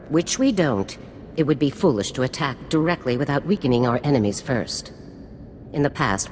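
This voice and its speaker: A stern female military general from a video game, with a very low voice that moves expressively. She gives orders with formal, clipped authority in a space with long reverb. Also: darkish tone. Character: stern, commanding female special forces general. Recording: long reverb with faint noise.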